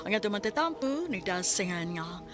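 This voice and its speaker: A woman's voice: mid-range voice, big pitch swings, normal speed, over loud music. A woman with a Cebuano Filipino accent hosting and narrating a radio drama in a formal, scripted announcing style.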